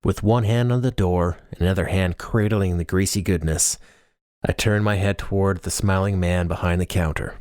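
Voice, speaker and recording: A man narrates a novel in the first person with a low-pitched voice. The sound is dry, with no room echo, as in an audiobook reading. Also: fairly even intonation, normal speed, darkish tone.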